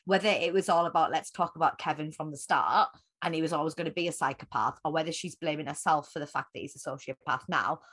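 A woman's voice: deep voice, fast talker, hardly any pauses, a bit bright, slightly rough. A woman co-hosting a casual recap podcast, talking conversationally with wry, sarcastic asides. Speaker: podcast co-host.

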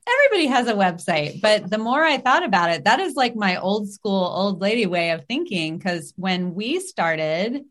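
A woman's voice: mid-range voice, very animated, moderate pace, few pauses, a bit bright. A middle-aged woman hosting a podcast, chatting casually and upbeat, with lots of "like" and "um". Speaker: podcast host.

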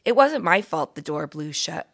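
An eager young male mouse from a children's storybook, as read by a woman. He is cheeky and boyish, quick to argue or plead, and has a creaky edge to his voice. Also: sits low, sing-song, fairly bright tone, exaggerated voice. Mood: defensive.

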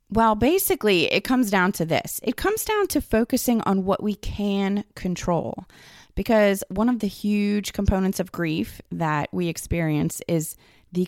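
A woman hosting her own podcast, talking loosely and conversationally while hoarse and a little raspy from a cold. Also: mid-range voice, a little mellow, no echo, studio quality. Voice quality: slightly raspy. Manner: loose, conversational, many "like" and "kind of". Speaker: podcast host. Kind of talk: solo podcast talk.

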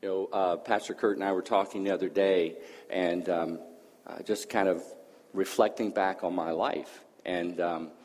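Man, from Greece, low voice, conversational testimony, hesitant fillers, reverberant hall. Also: fairly even intonation, moderate pace, a little mellow. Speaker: church guest sharing testimony. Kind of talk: personal testimony.

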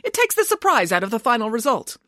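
A bold pig nanny from a children's story, acted out by a woman. She is haughty and easily indignant, swinging her pitch widely as she makes each emphatic point. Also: very animated, fast talker, pushed and bright, no echo, studio quality. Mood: breezily dismissive.

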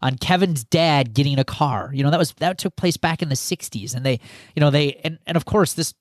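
Guy hosting a podcast, slightly high voice, rambles lots of you-knows. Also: brisk, dry recording, studio quality. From United States. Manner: rambling, many restarts and "you know". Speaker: podcast host. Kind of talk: casual podcast chat.

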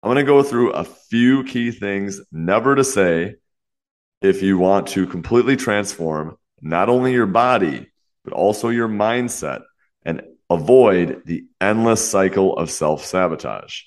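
A young man with a very low voice talks alone into a podcast mic as host of a fitness show. He sounds congested and a little nasal, and he moves along quickly and earnestly, dropping frequent "you know" fillers. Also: expressive. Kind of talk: solo podcast talk.

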